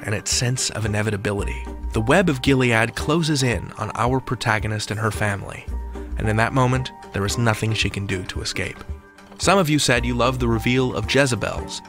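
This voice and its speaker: A man hosting a podcast with scripted narration in dramatic, measured phrasing. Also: mid-range voice. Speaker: podcast host.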